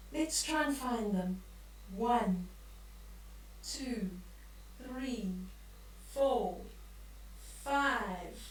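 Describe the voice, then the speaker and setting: An older woman reading a bedtime story aloud to young children, expressively, with drawn-out emphasis and questions for the listeners. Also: sits high. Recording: echoey room, distant from the mic.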